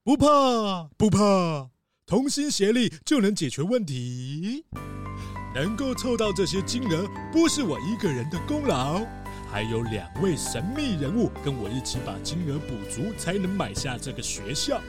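A man's voice: sits high, sing-song, normal speed, music in the background. A man playing a humble, determined old recycling collector in a children's radio drama, speaking with earnest, rousing emphasis. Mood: earnest, proud.

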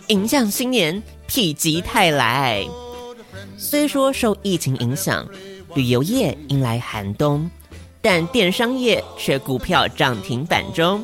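A woman with a Taiwanese Mandarin accent co-hosting a podcast, moving between casual banter and storytelling. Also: low-pitched. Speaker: podcast co-host.

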